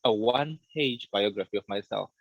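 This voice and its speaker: A man in his thirties from Zimbabwe, a book author chatting as a guest in a radio interview, relaxed and quick to laugh, often repeating his words as he thinks. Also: lowish voice, gravelly, dry recording, muffled recording. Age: 30s.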